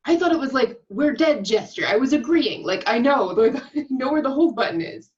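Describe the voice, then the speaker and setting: A woman's voice: high-pitched, expressive, moderate pace, a little mellow, recorded from a distance. A woman acting an exasperated, defensive starship communications officer in a script read, on dull lo-fi audio. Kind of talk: screenplay table read acting. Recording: dull lo-fi remote call audio.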